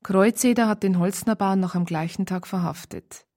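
An actress playing a matter-of-fact narrator of a police case in a radio crime drama. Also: female voice, mid-range voice, normal speed, over loud music, natural voice.

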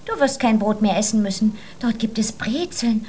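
A self-assured little princess in a radio play for children. The voice is high and swings widely in pitch, brisk and bossy as she orders the grown-ups around. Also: expressive, a little mellow, husky. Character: bossy, confident little girl playing princess.

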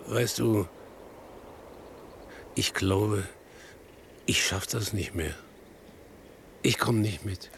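A poor old farmhand father in a radio drama. The voice is low and gravelly, humble and anxious, rambling haltingly. Faint ambience and noise sit behind it. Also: fairly bright tone, exaggerated voice. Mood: weary, doubtful. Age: older. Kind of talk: radio play acting.

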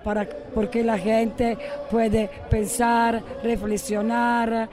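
A woman from Italy with an Italian accent, a high school teacher, talking hesitantly and searching for Spanish words. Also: flat delivery, slow pace. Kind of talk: street interview.

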